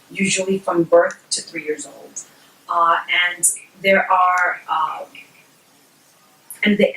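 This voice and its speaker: Low-voiced woman explaining in an echoey room. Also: fairly bright tone. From Puerto Rico. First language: Spanish. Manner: measured, explains with frequent ums and personal examples. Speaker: guest speaker on bilingual education. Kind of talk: explanatory workshop talk. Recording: echoey room, mic at a distance, faint noise.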